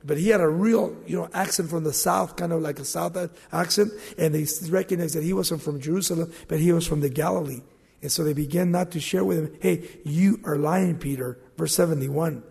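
Male pastor, sermon, husky, questioning the congregation, long church reverb. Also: a little high, a little fast, darkish tone. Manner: preaching with rising emphasis and questions to the congregation. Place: church sanctuary.

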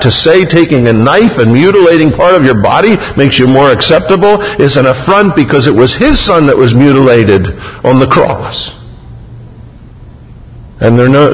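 A man's voice: medium pitch, creaky. A man preaching a sermon, emphatic, retelling scripture in a vivid first person. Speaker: pastor. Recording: long hall reverb.

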